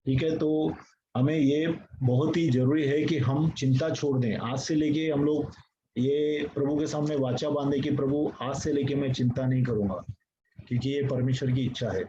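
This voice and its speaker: A man's voice: mid-range voice, fairly even intonation, moderate pace, mellow. A male pastor preaching an earnest sermon, speaking directly to his congregation and slipping English phrases into his talk. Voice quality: mixes English phrases into speech. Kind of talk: sermon teaching. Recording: dull lo-fi recording with faint noise.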